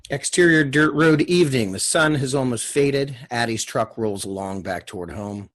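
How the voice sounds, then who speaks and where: A man with a husky voice reading scripted stage directions as the narrator of a screenplay. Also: medium pitch, normal speed, fairly bright tone.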